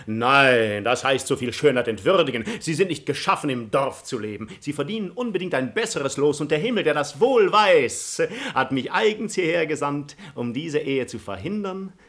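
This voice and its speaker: A man's voice: a little high, animated, moderate pace, a bit bright. An actor playing an ardent, deceitful nobleman and seducer in a classic radio comedy, with flowery, theatrical speech. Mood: ardent, flattering. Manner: flowery, silver-tongued, theatrical. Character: smooth aristocratic male seducer, ardent, deceitful, imperious when crossed. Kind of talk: classic comedy radio play acting, declamatory.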